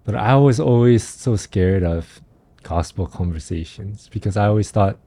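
A man in seminary sharing his story in casual chat, halting and self-deprecating with many fillers. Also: on the low side, mellow, slightly rough. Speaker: podcast guest, seminary student.

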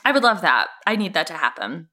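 A woman's voice: fairly low pitch, very animated, fast talker, bright, forceful tone. A woman co-hosting a podcast, bantering casually about television in an emphatic, slangy, gushing way. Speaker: podcast co-host.

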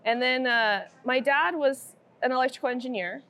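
A woman from Iceland, a podcast guest telling her backstory in a relaxed, chatty way. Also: high voice, normal speed. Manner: relaxed, chatty, laughs easily. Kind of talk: casual interview conversation.

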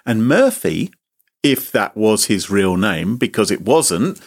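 A man's voice: very animated, slow pace. A man hosting a chat show, telling a comic story with theatrical mock outrage. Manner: mock-indignant, theatrical, sweeping pitch. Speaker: podcast host.

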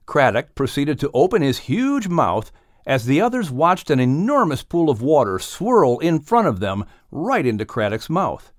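A man's voice: a little high, very animated, moderate pace, no echo, clean studio sound. A man reading scripted narration for a children's audiobook.

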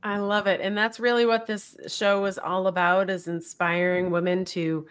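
A woman's voice: medium pitch, flat delivery, normal speed, clean tone. An upbeat woman hosts an interview podcast and chats casually with her guest. She talks in an effusive, gushing way, full of enthusiasm. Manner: upbeat, effusive, warm thanks. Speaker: podcast host.

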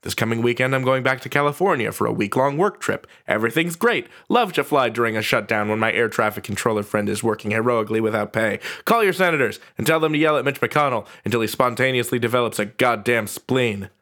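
A man's voice: mid-range voice, expressive, fast talker, hardly any pauses, a bit bright. Wry male podcast host. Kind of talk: panel talk and casual scripted host narration.

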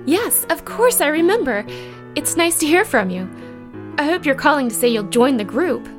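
A woman's voice: high-pitched, very animated, moderate pace, music in the background. An actress playing a gracious, bookish mid-century book club lady in an audio drama, polite, refined and encouraging. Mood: gracious, pleased. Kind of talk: period audio drama dialogue.

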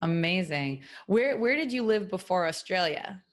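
A woman's voice: lowish voice, animated, moderate pace. A woman hosting an interview, asking curious questions and giving short encouraging replies. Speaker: podcast host, interviewer. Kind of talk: casual interview.

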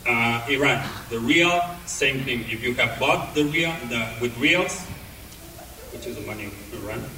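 A man with a non-native English accent giving a talk to an audience, speaking haltingly with many pauses and restarts. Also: medium pitch, lively intonation, sharp tone, a little hiss or hum. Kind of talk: presentation to an audience. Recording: echoey hall, voice from far off.

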